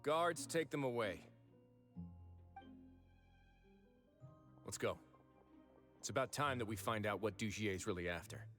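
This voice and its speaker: A composed prison-fortress administrator speaks in a lowish, steady voice. He explains things in a measured, even way and sounds unhurried. Faint game music plays behind him. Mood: commanding. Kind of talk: video game voice acting.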